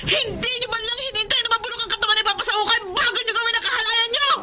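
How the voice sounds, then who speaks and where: An actress playing an enraged adult daughter in a Filipino radio drama, accusing and hysterical. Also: female voice, very high voice, fairly even intonation, rapid-fire. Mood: hysterical, disgusted. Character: furious adult daughter disgusted by her widowed mother.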